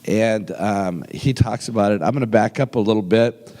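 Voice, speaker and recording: A man in his sixties preaching a sermon as pastor, his voice deepened and roughened by a cold, folksy and humorous, slowing down when he reads scripture. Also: darkish tone. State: has a cold, deep rough voice. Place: church sanctuary with long reverb. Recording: reverberant hall sound.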